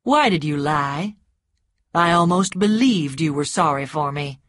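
A woman voicing a mocking, self-assured man in an audiobook story, blunt, deliberate and teasing. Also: low-pitched, animated, a bit bright, no echo, studio quality, exaggerated voice. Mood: scornful.

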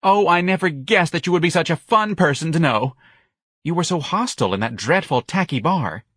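Guy doing a campy breathy young man in a novel, gushy and dramatic, pitch all over the place. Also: expressive, brisk, a bit bright, no echo. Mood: amazed, gushing. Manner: camp, breathy, dramatic, gushing. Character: effeminate, theatrical young man, gushing and world-weary. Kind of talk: audiobook character acting.

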